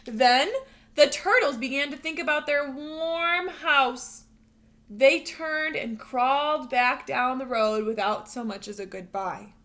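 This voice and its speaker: A woman teacher reading a picture book aloud to young children, expressive and instructional, pausing to put questions to them. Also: high voice. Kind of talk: animated read-aloud storytelling with questions to young learners.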